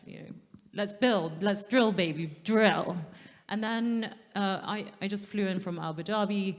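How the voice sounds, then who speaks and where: A woman moderating a conference panel in a lively, chatty way, rephrasing technical points for the audience. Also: lowish voice. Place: large conference hall, stage. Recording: long hall reverb.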